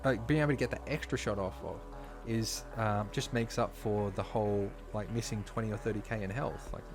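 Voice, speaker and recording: A man talking casually while live-streaming a game, slightly hoarse, chatty and full of fillers, from a home streaming setup. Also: on the low side, steady tone, brisk. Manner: chatty, filler-heavy, talks while playing. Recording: clear background music under voice.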